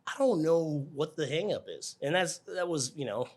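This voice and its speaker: A man's voice: fairly high pitch, expressive, normal speed, darkish tone. A middle-aged American man giving casual, informal interview answers, chuckling and acting out bits of dialogue as he explains. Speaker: air traffic controller, interview guest.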